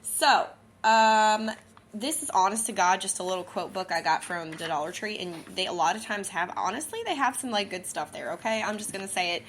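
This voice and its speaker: A woman's voice: medium pitch, brisk, a bit bright, a little hiss or hum. Chatty southern-accented woman podcaster. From Missouri, US. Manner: rambling, chatty, many fillers. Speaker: podcast host. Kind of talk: casual chat.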